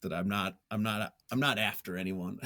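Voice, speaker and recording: A man with a fairly low voice talks casually as an interview guest. His manner is goofy and self-deprecating. The sound is dry, with very little room in it. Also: normal speed, sharp tone, no echo. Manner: self-deprecating, goofy, chuckles often while talking. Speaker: interview guest, writer and journalist.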